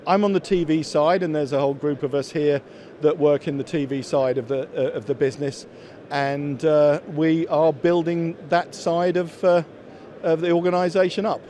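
Mellow middle-aged man, stop-start delivery. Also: fairly high pitch, fairly even intonation, moderate pace. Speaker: company executive being interviewed. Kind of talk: trade show interview, explaining products. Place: busy exhibition hall. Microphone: handheld interview microphone held by interviewer.

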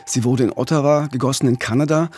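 A man's voice: medium pitch, fairly even intonation, fast, a little mellow, husky. A middle-aged man co-hosting a true-crime podcast, presenting and explaining things in a studio. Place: small radio studio. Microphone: close studio microphone.

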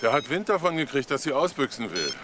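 A man's voice: mid-range voice, very animated, fast, music in the background, close to an everyday voice. An actor playing a male police detective in a crime drama, questioning in a dry, probing manner. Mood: dryly pressing.

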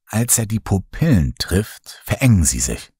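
Husky low-voiced male horror narrator. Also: very animated, measured pace, mellow, dry recording, studio quality. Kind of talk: scripted audiobook narration.

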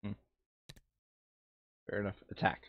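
A man's voice: unhurried, no echo. Insistent man on a dull, dry mic. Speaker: tabletop game player reading out enemy moves. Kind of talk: casual board game session chat. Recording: dull, lo-fi microphone sound.

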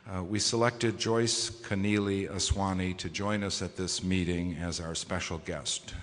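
A man presiding as society president, giving a formal award ceremony speech in a measured way with frequent hesitation fillers. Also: low voice, a bit bright. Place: large conference hall. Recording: echoey conference hall.

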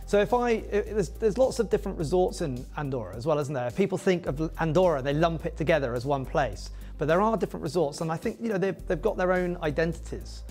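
A man's voice: fairly high pitch, lively intonation, quick pace, hardly any pauses, darkish tone, faint music behind. A middle-aged man hosting an interview, friendly and chatty, asking leading questions. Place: indoor studio set with wooden walls.

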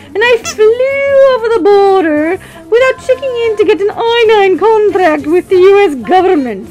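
A woman voicing a grand, theatrical female bird singing instructor in a puppet show, with a drawn-out, flamboyant, doting delivery. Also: extremely high pitch, darkish tone, exaggerated voice, poor audio. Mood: lofty.

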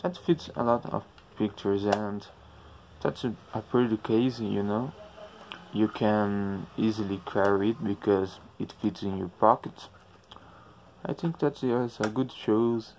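A man speaking non-native English, haltingly searching for words as he narrates an informal how-to tutorial. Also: on the low side, a little mellow, a little hiss or hum, poor audio. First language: not English. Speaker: DIY tutorial host.

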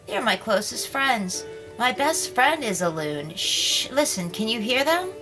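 A woman voicing a tiny, gentle female mermaid who is dreamy and wistful, speaking in a soft, lilting way. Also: fairly high pitch, sing-song, measured pace, over music, pitched above the performer's own voice, rough recording.

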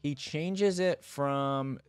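A man's voice: on the high side, drawn-out words, smooth, no echo. A young adult man reading a story aloud with casual commentary into a close desk microphone.

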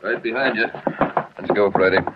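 A man acts a brusque American chief of detectives in an old radio play. His voice is fairly low and commanding. The recording is dull and clearly noisy. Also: moderate pace, natural voice. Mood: urgent. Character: gruff, urgent male chief of detectives. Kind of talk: 1940s radio acting. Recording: dull old radio broadcast, heavy noise.

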